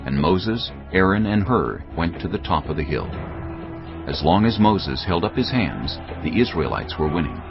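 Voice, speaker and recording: A man's voice: sits low, expressive, normal speed, lo-fi sound. A man narrating scripture from a script in a solemn storytelling manner. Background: music.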